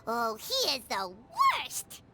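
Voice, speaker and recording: A woman's voice: sits high, wildly swooping pitch, slow pace, very noisy, exaggerated voice. A woman voicing a tiny, perky, outspoken childlike fairy companion in a video game, blunt and exclamatory. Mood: indignant. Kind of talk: cartoonish video game voice acting.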